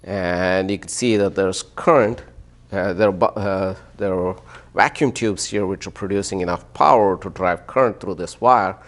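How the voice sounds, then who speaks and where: A man speaking as a physics professor, giving a live demonstration in an explanatory way, with hesitant pauses and short check-in questions. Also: low-pitched, fairly bright tone.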